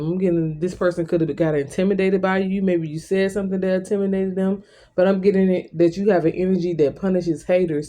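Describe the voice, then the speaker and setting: Male tarot reader, slightly high pitch, very mellow relaxed tone, conversational, repetitive, direct address. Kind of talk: informal spoken tarot card reading.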